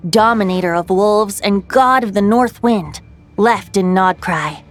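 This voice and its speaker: A woman playing a calm, playful female guide telling old lore in a video game, measured and poised. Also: on the high side. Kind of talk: scripted game dialogue, storytelling.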